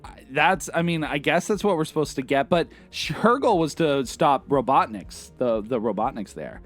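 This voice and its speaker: A man's voice: fairly high pitch, lively intonation, normal speed, faint music behind. A man co-hosting a casual film discussion, chiming in agreeably and adding his own take. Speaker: podcast co-host reviewing a film.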